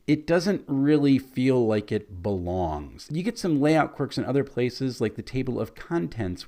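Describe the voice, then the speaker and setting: A man with a scratchy, hoarse voice, casually reviewing a tabletop game book. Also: mid-range voice, a little mellow. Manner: conversational, mildly critical.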